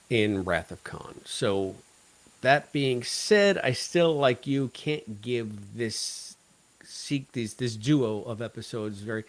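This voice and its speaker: Male podcast co-host, average pitch, wry and joking in casual review chat. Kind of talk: casual review chat about an audio drama.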